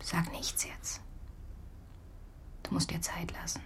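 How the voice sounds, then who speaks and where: An actress playing a woman who softly consoles her partner after his return home, often in a whisper. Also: slow. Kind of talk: radio drama acting.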